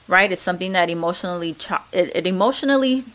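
A woman's voice: fairly low pitch, lively intonation, fast, fairly bright tone. Earnest woman with a Mexican accent. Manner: earnest, many fillers and restarts. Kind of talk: casual reflective talk.

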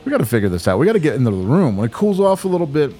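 A man's voice: mid-range voice, very animated, very fast, warm tone. A man co-hosting a podcast in an apartment room, bantering casually and profanely with mumbled asides. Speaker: podcast co-host.

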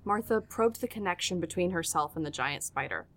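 A woman's voice: lowish voice, expressive, fairly quick. A woman reading scripted third-person narration for an audio drama as its storyteller.

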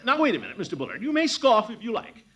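An actor playing a pompous, blustering middle-aged amateur detective in a 1940s radio comedy. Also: male voice, sits high, wildly swooping pitch, fast talker, a bit bright, muffled recording, heavily acted. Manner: blustering, chuckling, self-important.

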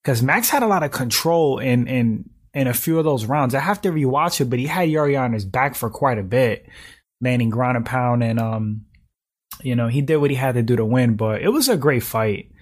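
A slightly hoarse man in his thirties, hosting a livestream and chatting casually while reading out viewer comments, often clearing his throat. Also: medium pitch, normal speed. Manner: relaxed slangy talk, frequent throat clearing. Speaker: livestream host reading chat. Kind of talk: casual chat.

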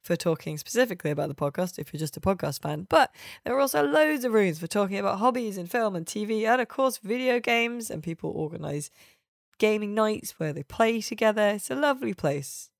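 A woman's voice: mid-range voice, animated, a little fast, vocal fry, no echo, studio quality. A woman hosting a podcast in a casual, chatty style. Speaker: podcast host.

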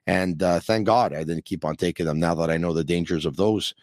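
A man hosting a sports call-in podcast, chatting in a rambling, animated way. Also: sits low, a little fast, dry recording, studio quality. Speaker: podcast host. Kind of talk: casual call-in show chat.

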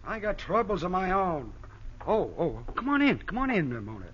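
A man's voice: high voice, huge pitch swings, moderate pace, fairly bright tone, a little hiss or hum, full character voice. A man playing a world-weary, crooked nightclub owner in old radio crime drama, wry and slangy. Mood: harried. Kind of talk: 1940s-50s radio crime drama acting. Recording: dull old radio broadcast.

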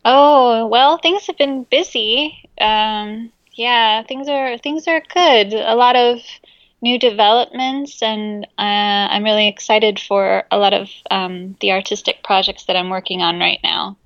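A woman's voice: fairly high pitch, fairly even intonation, unhurried, fairly bright tone, dry recording, muffled recording. A woman musician being interviewed in a casual chat, speaking hesitantly with many ums and easy laughter. Her first language is Greek. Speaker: musician guest.

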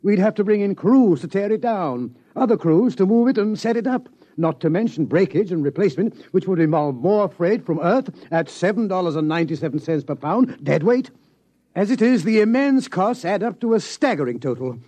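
An actor playing a dignified, formal observatory director with precise, stuffy speech in a vintage radio drama. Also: male voice, sits high, animated, moderate pace, dark tone. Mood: exasperated. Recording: dull, lo-fi old radio recording.

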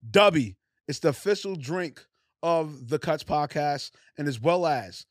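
A man's voice: on the high side, expressive, unhurried, dry recording, clean studio sound. A man hosting a podcast, talking in a hyped, loose, slangy way with many restarts. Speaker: podcast host. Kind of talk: casual interview chat.

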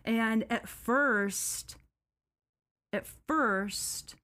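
A young American woman co-hosting a casual podcast, chatty and jokey, full of "like" fillers and quick asides. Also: fairly high pitch, pushed and bright, no room sound. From United States. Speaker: podcast co-host.

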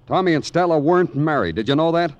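An actor playing a weary, relentless American police detective in a 1950s radio crime drama, clipped and brooding. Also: male voice, fairly high pitch, lively intonation, quick pace, no echo, lo-fi sound, lightly acted. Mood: accusing. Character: hard-boiled weary Broadway police detective, relentless and knowing.

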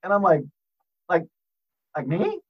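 A man's voice: high-pitched, huge pitch swings, a little slow, slightly rough, dull audio. A man on a podcast as a guest, telling rambling, profane stories and laughing at his own jokes. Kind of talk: casual podcast chat, storytelling.

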